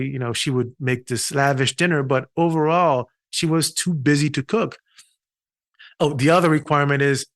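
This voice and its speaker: A man's voice: on the high side, a bit bright, no room sound, studio quality. A middle-aged man from Haiti, a physician, telling his story in an earnest way with restarts in a podcast interview. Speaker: physician and patient experience consultant.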